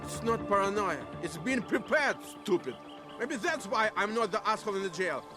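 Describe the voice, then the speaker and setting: A man's voice: high-pitched, animated, moderate pace, music in the background. An actor playing a defensive, prickly male criminal with a snappy, scornful manner in a video game cutscene.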